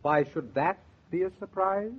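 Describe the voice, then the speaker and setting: An actor playing an eager, dapper, punctilious gentleman in 1940s radio drama style. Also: high voice, measured pace. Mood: hearty. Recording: noisy, muffled vintage radio recording.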